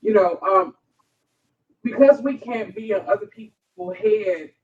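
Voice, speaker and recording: A woman's voice: mid-range voice, lively intonation, measured pace. American lady casual bible talk, off mic and muffled, keeps checking you follow. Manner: conversational, frequent check-ins with listeners. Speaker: scripture study panelist. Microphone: picked up from across by another's mic. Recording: distant, muffled, without own microphone.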